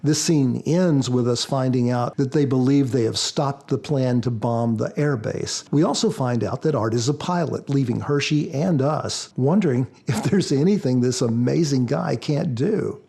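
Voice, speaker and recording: A man speaking as a guest author in a podcast interview, explaining in a measured, prepared style. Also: mid-range voice, creaky, mellow, slightly rough.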